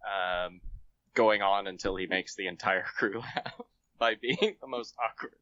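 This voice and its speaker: A man's voice: on the low side, a little slow, sharp tone, dull audio. A man chatting casually as a guest author on a podcast, giving recommendations.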